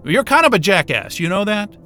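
An actor playing an irreverent, wisecracking astrophysicist in a sci-fi audio drama, with a sarcastic, informal and exasperated way of talking. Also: male voice, high voice, big pitch swings, fairly quick, pushed and bright, faint music behind, exaggerated voice. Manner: sarcastic, informal, hesitant muttering and outbursts.

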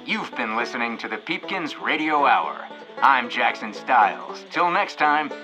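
A man's voice: mid-range voice, very animated, unhurried, music in the background. A man playing a wry, theatrical radio show host narrating a story in old-time style.

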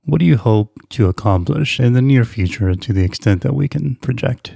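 A man's voice: mid-range voice, expressive, normal speed, creaky, mellow, slightly rough, no echo, clean studio sound. A man hosting a podcast interview in a conversational style.

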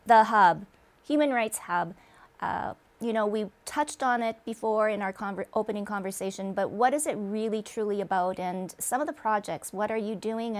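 Upbeat woman talk show host. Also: a little high.

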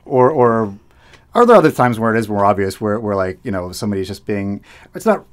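A man's voice: on the low side, expressive, brisk. A middle-aged man from the United States, talking casually in an interview, rambling with restarts, fillers and frequent laughs. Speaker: radio host, interviewee.

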